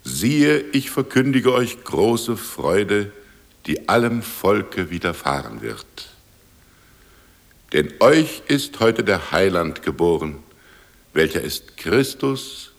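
A male actor playing a solemn gospel reciter, declaiming slowly in a radio drama. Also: deep voice, very animated, vocal fry, full character voice. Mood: solemn, proclaiming.

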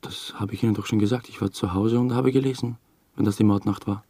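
An actor playing an uneasy, obsessive theatre dramaturge, confessing hesitantly in a radio drama. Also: male voice, lowish voice, steady tone, quick pace, dark tone, no room sound. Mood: defensive. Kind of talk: first-person radio drama narration. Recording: music under the voice. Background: music.

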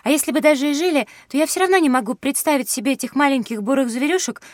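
A woman's voice: high-pitched, quick pace, a little mellow, no echo, studio quality, lightly acted. A woman voicing a curious, sceptical young schoolgirl with an earnest, inquisitive manner in an educational audio drama.

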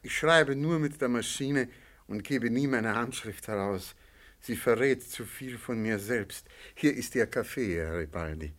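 A man's voice: medium pitch, measured pace, a bit bright, natural voice. Man acting a shrewd, formal astrologer in radio drama. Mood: polite, guarded. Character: shrewd, courteous male astrologer and graphologist, quietly probing. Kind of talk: German radio play acting.

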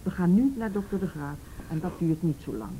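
An actress playing a calm, reassuring official in a radio play, gentle and practical. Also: female voice, fairly low pitch, fairly quick, lightly acted. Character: calm, reassuring woman official looking after a victim. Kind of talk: radio drama acting. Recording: noisy old radio play recording.

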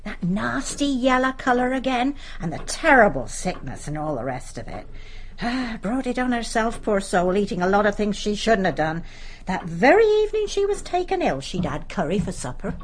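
A woman plays a long-serving older English maidservant in a radio drama. Her medium-pitched voice swings animatedly as she chats and gossips with homely deference, over faint household noise. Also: sing-song, normal speed, close to an everyday voice. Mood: respectful, gossipy.

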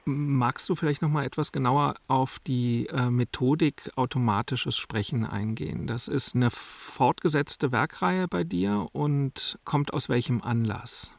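A man's voice: medium pitch, measured pace, creaky, few pauses, dry recording. A man presenting on radio, with scripted introductions and interview questions.

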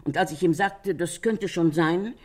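A woman's voice: lowish voice, measured pace, darkish tone. An actress playing a chatty, candid female witness in a German radio crime drama. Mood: earnest recounting.

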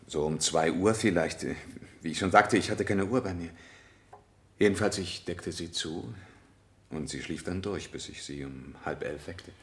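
Deep-voiced, guarded witness. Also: male voice, measured pace, a bit bright. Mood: weary, subdued. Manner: terse, guarded, subdued answers. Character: defensive married father under questioning, weary and guarded. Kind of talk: 1970 German radio play acting.

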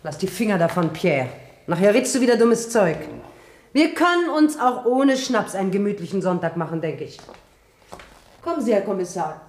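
A roomy, echoing radio drama recording of a bossy farm woman , played by an actress. She has a mid-pitched voice and talks in a brisk, plain, scolding way, very much in charge of her household. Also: sing-song, a little mellow, lightly acted. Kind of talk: German radio play acting.

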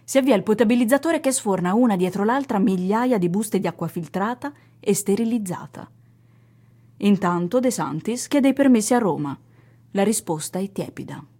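A woman's voice: mid-range voice, expressive, normal speed, warm tone, husky. A woman narrating a scripted radio piece with a literary, storytelling delivery.

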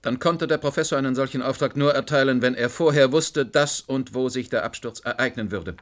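A man's voice: medium pitch, fairly quick, natural voice. An actor playing a stern, logical man of authority, firm and matter-of-fact, in a radio play. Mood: determined. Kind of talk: German radio play acting.

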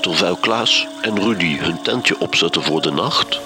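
A man's voice: deep voice, unhurried, over music. A man with a Flemish accent narrating a comedy radio play, wry and mock-serious.

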